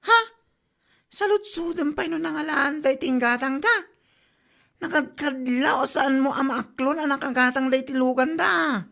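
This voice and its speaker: High-pitched village woman, acted by a woman, Ilocano accent, gossipy, scolding, exclamatory, comedy drama delivery. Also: normal speed, gravelly, a bit bright. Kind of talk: comedy radio drama acting.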